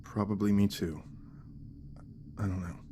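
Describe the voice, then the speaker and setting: Man acting low, mellow, worn-out young dad. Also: steady tone, a little slow, a little hiss or hum, close to an everyday voice. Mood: weary, uneasy. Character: exhausted young father and husband, placating, puzzled. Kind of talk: naturalistic audio drama acting.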